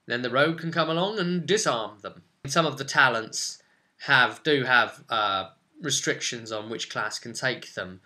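A man's voice: medium pitch, bright, forceful tone. A man in his twenties giving an informal explanatory tutorial on tabletop roleplaying, talking to camera at home. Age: 20s. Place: small furnished room at home, indoors.

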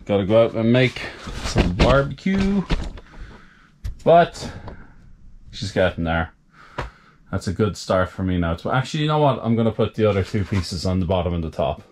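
A man casually talking the viewer through his renovation work indoors, matter-of-fact and relaxed. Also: on the low side, moderate pace, long pauses, slight background noise. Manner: relaxed, matter-of-fact, talks to camera while working. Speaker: DIY renovator. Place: indoors, farmhouse under renovation.

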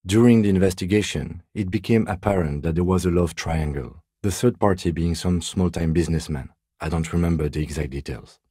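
An actor playing a composed middle-aged police detective in a video game, speaking in a measured, formal, dryly polite way. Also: male voice, low voice, dark tone, slightly rough, dry recording, studio quality. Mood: measured, serious. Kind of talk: dramatic game dialogue, separate voice lines.

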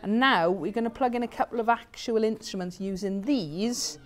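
A woman's voice: mid-range voice, normal speed, slight background noise. British woman giving casual practical instructions. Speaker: band sound setter-up and vlogger. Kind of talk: casual instructions during PA setup. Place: large bright community hall room.

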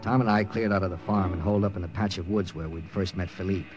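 A man's voice: low-pitched, steady tone, fast talker, lightly acted. A man acting a tough, grim American intelligence captain who narrates an old radio drama tersely. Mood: tense narration. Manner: terse, hard-boiled. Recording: old radio recording with music behind.

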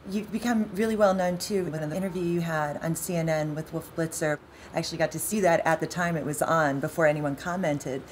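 Low-voiced American woman interviewing. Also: brisk, a little hiss or hum. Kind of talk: conversational interviewing. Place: indoor booth seating, seated interview.

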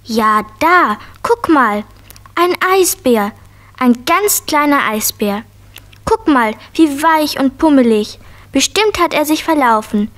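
An excitable little girl in a children's audio drama. The voice is high and swings widely in pitch. The delivery is childlike, eager and full of exclamations. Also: takes it slowly, exaggerated voice. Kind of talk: children's radio play acting.

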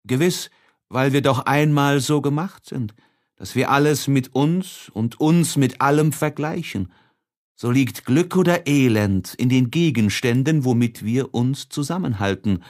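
A man reading literary prose as an audiobook narrator, expressively and with deliberate, measured phrasing. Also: mid-range voice, darkish tone.

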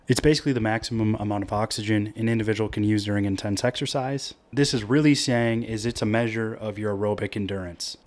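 A young man in his twenties, an upbeat vlogger explaining a sport in a chatty way from a cozy living room. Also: on the low side. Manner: chatty, upbeat, slangy asides. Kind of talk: casual explainer vlog.

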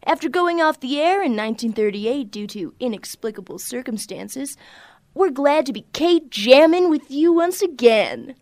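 A woman's voice: sits high, animated, normal speed, darkish tone, no echo. A woman playing a perky radio DJ co-host in a radio drama, announcing cheerfully.

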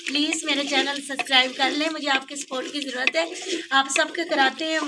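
A woman's voice: high-pitched, flat delivery, a little fast. A woman with a Pakistani Urdu accent, chatting homely through a recipe as she cooks outdoors at a clay stove at night, and pleading with viewers to subscribe. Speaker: cooking vlogger. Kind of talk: casual cooking narration. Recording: distant voice with sizzling noise.

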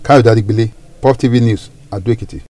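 Man, news voice-over, mellow, dry close mic. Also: fairly low pitch, fairly even intonation, no echo. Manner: measured, formal delivery. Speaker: news reporter. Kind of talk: scripted news narration.

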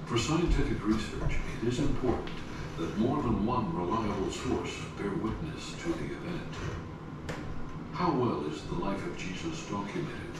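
A man narrating a documentary in a measured, scripted way, posing rhetorical questions. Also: lowish voice, lively intonation, loud music behind the voice. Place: documentary audio played in a garage gym. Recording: echoey, distant playback with loud noise.

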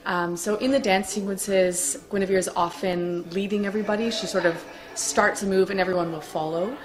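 A woman's voice: mid-range voice, fairly even intonation, moderate pace, low-quality recording. A young woman talking informally and thoughtfully about her role in a cast interview. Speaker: amateur stage actress.

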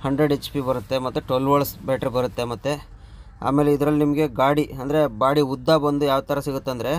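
A man's voice: mid-range voice, flat delivery, fast. A man, a truck driver vlogger chatting casually to his viewers and explaining a vehicle, outdoors with faint traffic noise behind. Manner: chatty, addresses viewers as brother and friends.

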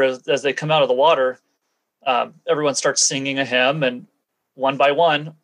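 A man's voice: mid-range voice, fairly bright tone, poor audio. A man being interviewed as a guest, recounting and explaining things in a matter-of-fact, conversational way. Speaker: interview guest, former church member.

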